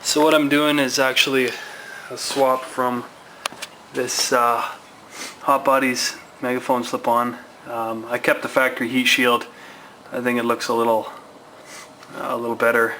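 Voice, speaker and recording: Male DIY vlogger, mid-pitched and bright, informal hands-on explainer, slight background noise on an amateur recording. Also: low-quality recording. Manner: plain-spoken, informal, slangy. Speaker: motorcycle owner demonstrating a DIY exhaust swap. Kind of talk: casual hands-on how-to commentary.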